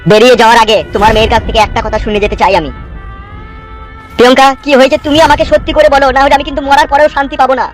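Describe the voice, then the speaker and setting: A bewildered, pleading young boyfriend from a comedy cartoon, acted by a man. The voice is very high and rushed, with exaggerated plaintiveness and clear music behind. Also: flat delivery. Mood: pleading, confused. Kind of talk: melodramatic comedy cartoon dubbing. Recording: music under the voice.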